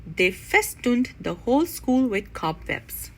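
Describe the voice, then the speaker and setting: Bright, animated woman reading a storybook. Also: on the high side, slow pace. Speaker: children's read-aloud narrator. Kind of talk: expressive storybook narration.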